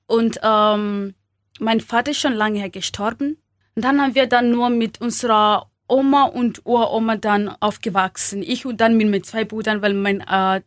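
A young woman from Kenya speaking German as a second language, halting with many fillers and small grammar slips, as an interview guest. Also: a little high, no room sound, clean studio sound. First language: not German. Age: young adult.

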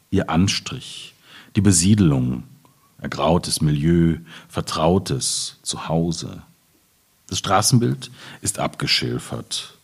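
Low, husky man reading slowly. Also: a little mellow. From Ruhr region, Germany. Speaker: poet reading at a literary event. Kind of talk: poetry reading with informal commentary.

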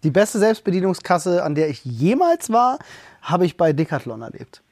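A man's voice: high-pitched, very animated, normal speed, a little mellow, no room sound, clean studio sound. A man co-hosting a casual podcast chat, enthusiastic and a bit rambling as he describes things he found cool. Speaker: podcast co-host.

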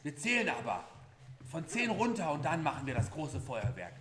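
A man's voice: on the high side, big pitch swings, normal speed, reverberant, very noisy. A man in his forties, narrator and party host, encouraging a group of children. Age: 40s. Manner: encouraging, leads the crowd.

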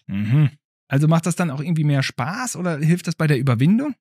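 A man's voice: a little high, very animated, brisk, darkish tone, breathy edge, dry recording, clean studio sound. A man hosting a podcast, chatting casually and playfully as he interviews a child. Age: adult. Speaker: podcast host.

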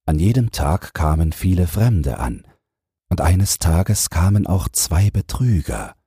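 A man's voice: low voice, big pitch swings, takes it slowly, dark tone, breathy edge, no echo, clean studio sound. A man narrating a fairy tale as a bedtime audiobook, reading a scripted text as a storyteller.

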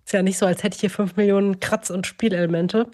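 Woman, medium pitch, casual podcast chat, informal, dryly ironic. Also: fairly even intonation, fast, darkish tone. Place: at home.

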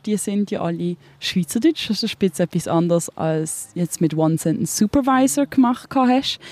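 A woman's voice: mid-range voice, a little mellow, dry recording. Woman interviewer asking careful questions, dry mic, bit of music underneath.

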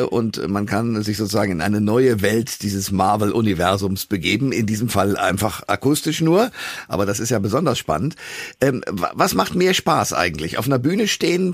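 Husky male radio talk show host. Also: mid-range voice, animated, normal speed, dry recording. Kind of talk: conversational radio interviewing.

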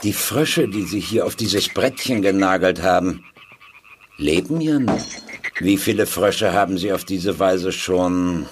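A man's voice: low-pitched, measured pace, background noise. An actor playing a stern man in an audio drama, questioning formally and with reproach. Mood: stern, reproachful. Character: stern man confronting a cruel frog torturer. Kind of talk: German audio drama acting.

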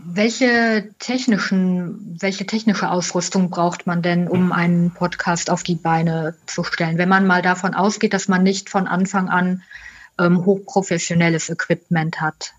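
A woman's voice: lowish voice, fairly even intonation, a little slow. A woman working as an interviewer, putting questions in a conversational way, on a dull lo-fi recording.

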